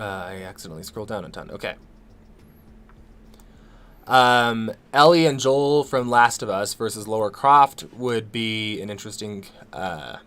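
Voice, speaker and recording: A man co-hosting a casual livestream Q&A, hoarse from a cold and losing his voice, talking in a rambling way with many ums and restarts. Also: fairly low pitch, a bit bright. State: losing his voice, a little sick. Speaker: co-host of a livestream Q&A.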